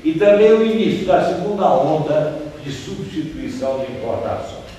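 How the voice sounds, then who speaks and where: A man's voice: fairly high pitch, expressive, normal speed, dark tone, far from the mic, slight background noise. Older man lecturing in an echoey room. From Brazil. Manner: conversational, gestures as he talks, trails off searching for names. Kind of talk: seated history lecture.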